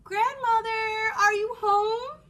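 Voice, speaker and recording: A woman's voice: very high-pitched, steady tone, slow pace, pitched above the performer's own voice, full character voice. A woman voicing a naive, polite little girl in a storybook, earnest and eager. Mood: puzzled, innocent. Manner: bright, earnest, innocent.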